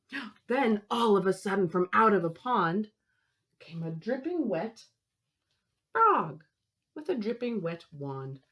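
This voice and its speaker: A woman in her thirties reads aloud to young children like a teacher. Her voice is slightly breathy and very animated, moving slowly through the story and stretching key words. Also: medium pitch. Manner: expressive, gasping, drawn-out key words, child-directed. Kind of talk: animated picture-book read-aloud.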